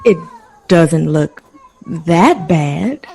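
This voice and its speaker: An actress playing a brisk, bossy, upbeat 1920s mother in an audio drama. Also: low voice, lively intonation, creaky, a little mellow, slightly rough, exaggerated voice. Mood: reassuring.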